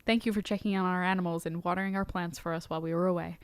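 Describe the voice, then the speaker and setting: A woman's voice: lowish voice, fairly even intonation, very fast, clean tone, no echo. A woman from Long Island co-hosting a podcast in casual, informal conversation. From Long Island, New York, USA. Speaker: podcast co-host.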